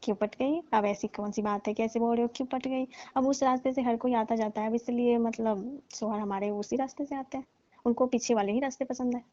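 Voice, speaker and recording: A wheedling, pleading middle-aged aunty, as an actress plays her on a phone call. Her voice sits fairly high but sounds mellow and dark. The line is muffled and dry, like a recorded phone call. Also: steady tone, brisk, natural voice. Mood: coaxing. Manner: wheedling, keeps calling him "babu". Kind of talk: scripted comedy phone call.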